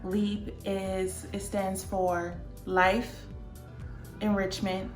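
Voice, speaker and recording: A woman from Pittsburgh in her thirties, co-hosting a casual couple Q&A and telling stories with lively, laughing energy. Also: mid-range voice, drawn-out words. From Pittsburgh, Pennsylvania, USA. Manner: animated storytelling with frequent laughs. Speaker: co-host, life coach. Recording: echoey room with loud music.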